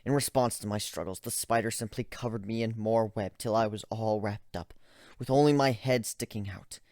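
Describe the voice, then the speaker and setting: Low-voiced man narrating dramatically. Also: normal speed, darkish tone, no room sound, clean studio sound. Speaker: narrator of a fan story. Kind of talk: dramatic first-person story reading.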